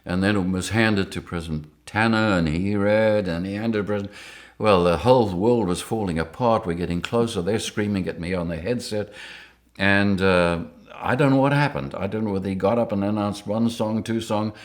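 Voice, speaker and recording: An older man with a very deep, low-pitched voice. He tells his stories in a lively way and keeps breaking into chuckles. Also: moderate pace. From Rhodesia. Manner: lively anecdotes, chuckling often. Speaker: interviewee. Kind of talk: storytelling interview.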